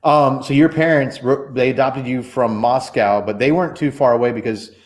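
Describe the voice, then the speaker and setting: A man's voice: mid-range voice, moderate pace. A man in his forties hosting a casual church livestream interview, chatty and rambling with many fillers and restarts.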